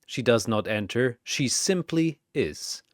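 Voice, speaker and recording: A man narrating a scripted fantasy story in a theatrical, deliberate manner. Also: medium pitch, lively intonation, no room sound, studio quality.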